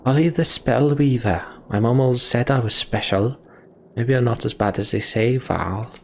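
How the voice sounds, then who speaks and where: A man's voice: mid-range voice, moderate pace, vocal fry, slight background noise, natural voice. A man voicing a hard-drinking, roguish axe-thrower from a fantasy game, with sardonic, grumbling, colloquial talk. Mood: amused.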